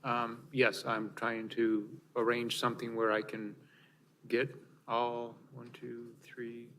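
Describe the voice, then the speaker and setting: A man replies informally in a meeting, with a flat, even intonation. His pitch is average, and faint room noise surrounds him. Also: takes it slowly. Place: large council chamber, indoors.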